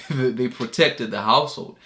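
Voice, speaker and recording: A man hosting a reaction video, talking in a relaxed, chuckling, conversational way. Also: mid-range voice, moderate pace. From Zimbabwe. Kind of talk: casual commentary.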